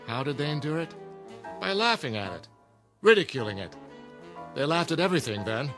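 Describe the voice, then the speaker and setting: A man playing a dry, earnest jester who leads an outlaw club, speaking in a measured, courteous way in an audio drama. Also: on the high side, sing-song, fairly bright tone, faint music behind.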